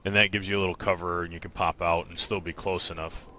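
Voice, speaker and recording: A man's voice: sits low, flat delivery, fast, sharp tone, a little hiss or hum. A man narrating a video game walkthrough, explaining casually and matter-of-factly, step by step. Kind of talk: casual instructional game commentary. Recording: game music behind the voice. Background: game music.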